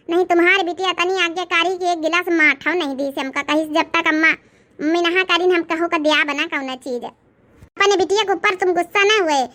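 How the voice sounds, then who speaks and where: A woman plays a meddling village neighbour in a cartoon comedy. She chatters wheedlingly in an Awadhi accent, in an extremely high, sharp voice.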